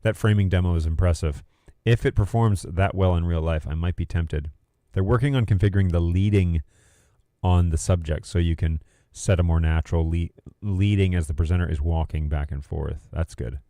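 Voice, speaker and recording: A man's voice: sits low, moderate pace, warm tone, dry recording, clean studio sound. A man hosting a casual livestream, rambling and thinking aloud as he talks through tech. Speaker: livestream host. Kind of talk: casual livestream chat about video production gear.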